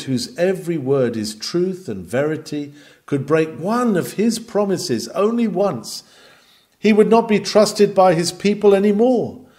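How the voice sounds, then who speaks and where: Animated, high-pitched man reading a sermon. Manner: earnest, oratorical, with rhetorical questions and emphatic pauses. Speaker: sermon reader. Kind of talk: dramatic read-aloud of a Victorian sermon.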